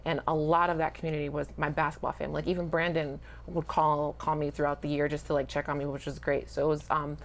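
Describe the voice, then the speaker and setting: A woman's voice: sits low, flat delivery, fast, vocal fry, hardly any pauses, slight background noise. A woman coach talking candidly and sincerely about her own experience in a conversational interview. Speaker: basketball coach, interview guest. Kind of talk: candid personal interview talk.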